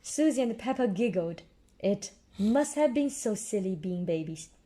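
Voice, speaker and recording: A woman's voice: mid-range voice, expressive, unhurried, warm tone. A woman reading a children's story aloud, explaining things simply as if to a young child. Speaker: storybook reader.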